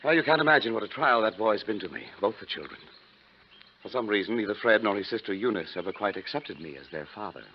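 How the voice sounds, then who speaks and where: Man acting a pompous, fussy, low-voiced rich schemer. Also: expressive, fast, lightly acted. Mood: haughty, aggrieved. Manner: pompous, florid, flustered hesitations. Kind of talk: 1940s radio acting. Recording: muffled, noisy 1940s radio recording.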